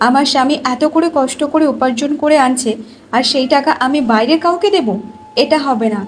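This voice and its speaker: A woman's voice: high voice, fairly quick, a little hiss or hum, natural voice. A woman voicing a haughty, bossy, stingy young daughter-in-law in a cartoon, scolding and commanding with contempt.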